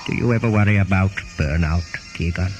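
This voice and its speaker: An actor playing a sly, authoritative sci-fi interrogator in an audio drama, pointed and needling. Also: male voice, low-pitched, a little fast, loud music behind the voice, natural voice.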